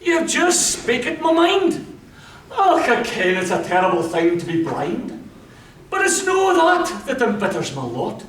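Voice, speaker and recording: A man's voice: very high voice, huge pitch swings, unhurried, fairly bright tone, slight background noise, voice pushed up, full character voice. A man voicing a blinded young soldier, loyal and plain-spoken, with an earnest, pleading manner. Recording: roomy, distant mic.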